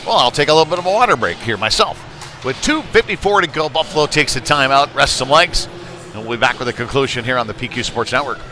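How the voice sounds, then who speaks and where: A man calling live hockey play-by-play, mixing conversational analysis with excited surges on big plays. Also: mid-range voice, fast, soft background music. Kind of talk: live sports commentary. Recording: noisy rink ambience behind live commentary. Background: busy ice rink noise.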